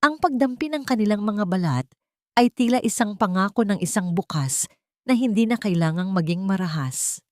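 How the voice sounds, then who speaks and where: A woman reading a scripted dramatic story in a measured, solemn way. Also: medium pitch, expressive, no room sound, studio quality. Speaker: story narrator. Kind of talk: scripted dramatic audiobook-style narration.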